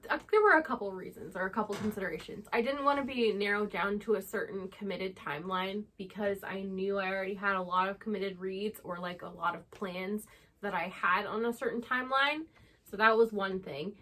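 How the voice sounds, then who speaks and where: A woman's voice: medium pitch, brisk, a bit bright. A woman co-hosting a book chat, explaining things conversationally with plenty of filler "like". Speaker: book channel co-host.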